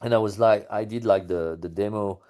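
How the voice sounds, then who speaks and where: A man's voice: lowish voice, a little fast, mellow. A man being interviewed about his music, talking casually with many fillers and restarts.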